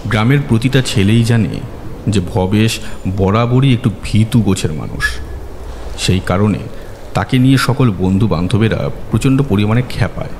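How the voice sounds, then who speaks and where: Deep-voiced man narrating horror, in reverb. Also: moderate pace, darkish tone, slight background noise. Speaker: story narrator. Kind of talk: dramatic scripted horror narration. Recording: long reverb, music bed behind.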